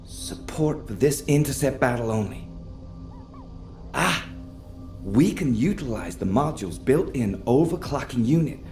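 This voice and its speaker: A man's voice: medium pitch, lively intonation, a little slow, darkish tone, reverberant, faint music behind, close to an everyday voice. An actor playing a helpful, earnest male engineer in a video game, explaining things in a friendly way. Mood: eager. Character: helpful, earnest male tech engineer, easily tempted by cookies.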